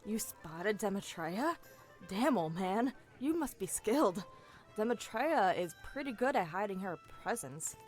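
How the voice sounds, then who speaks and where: A woman's voice: medium pitch, big pitch swings, a little slow, soft background music. A woman acting a young elf assassin in a fantasy audio drama, charming and sweetly feigning innocence. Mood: sly, frank. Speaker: voice actor in an audio roleplay.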